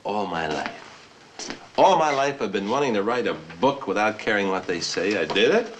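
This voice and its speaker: A man's voice: on the low side, a little fast, rough recording. An actor playing a self-mocking, restless writer who savors his words theatrically in a film drama. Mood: rueful. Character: self-mocking, restless male writer, drunkenly celebrating alone. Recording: steady background noise.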